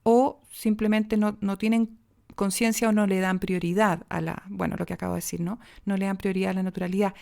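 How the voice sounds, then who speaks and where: A woman with a Chilean accent teaching speech and diction on a podcast, acting out pauses, pitch and pace changes as examples. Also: flat delivery, gravelly, a little mellow. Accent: Chilean Spanish. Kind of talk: podcast voice lesson.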